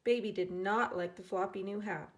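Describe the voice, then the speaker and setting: A woman teacher reading a children's storybook aloud in an expressive way, acting out the characters. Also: mid-range voice, normal speed. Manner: expressive, dramatizing characters' lines.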